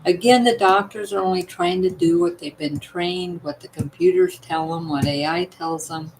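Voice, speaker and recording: A woman's voice: fairly low pitch, fairly even intonation, moderate pace, a little hiss or hum, poor audio. An older woman with a partly worn, weakened voice, chatting to her viewers at home in an emphatic way, often asking "you know what?". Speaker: vlogger sharing health tips. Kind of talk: casual talk to viewers.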